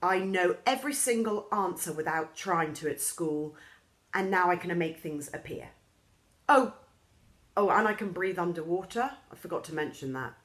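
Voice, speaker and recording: Lively woman reading a children's story. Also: moderate pace. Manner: lively, acts out characters' lines with raised pitch.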